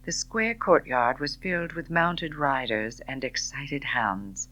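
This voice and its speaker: A woman reading a novel as an audiobook, narrating in an expressive, theatrical way. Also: extremely low pitch, slow, low-quality recording. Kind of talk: scripted dramatic novel narration.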